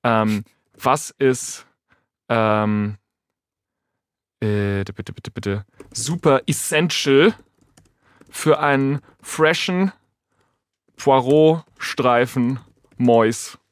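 A man explaining things casually as an expert guest, informal and sometimes halting as he thinks aloud. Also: medium pitch, smooth, no echo, clean studio sound.

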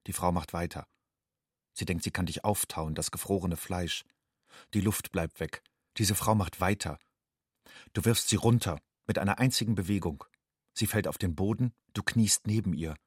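A man's voice: low voice, husky, no room sound, clean studio sound. A man playing a haunted, secretive young migrant in a radio play, speaking a literary monologue to himself in terse, inward phrases.

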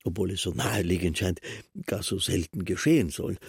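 A musing male secretary in a literary radio play, circling pedantically through his explanations. His voice is lowish and badly frayed with rasp, recorded dry and close. He is deliberate and long-winded. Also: no echo, full character voice. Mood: musing, explanatory. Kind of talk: German literary radio drama acting.

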